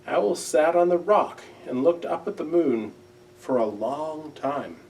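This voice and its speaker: A man reading a children's storybook aloud in a gentle, measured, expressive way. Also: a little high, far from the mic, poor audio. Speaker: library director reading aloud.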